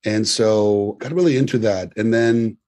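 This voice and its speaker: A man's voice: fairly low pitch, a little slow, a little mellow. A man in his forties, originally from Poland and raised in Germany, talking as a guest in a loose, conversational way with frequent restarts. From born in Poland, grew up in Germany. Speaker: guest, tech company CEO. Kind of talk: podcast interview.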